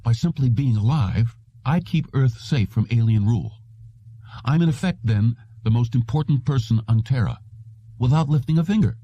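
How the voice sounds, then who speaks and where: Man playing a wry, pleading hunted ex-agent, lively pitch. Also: moderate pace, no room sound, lightly acted. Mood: wry, pleased. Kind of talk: audiobook character reading. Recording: dull, muffled lo-fi audio.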